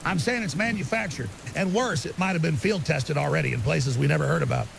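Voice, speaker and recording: A man's voice: a little high, fairly quick, vocal fry. A man playing a grim, urgent conspiracy theorist as a guest on an apocalypse podcast drama, emphatic and ominous in clipped, certain statements. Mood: grim, insistent. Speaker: interview guest. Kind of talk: fictional apocalypse podcast interview. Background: rain and faint hiss.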